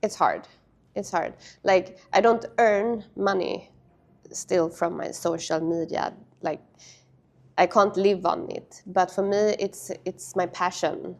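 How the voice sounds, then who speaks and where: Swedish-raised woman, halting casual speech. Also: fairly low pitch, steady tone, gravelly. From Sweden. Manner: halting, with many fillers and restarts. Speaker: interview guest, padel player. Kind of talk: casual interview.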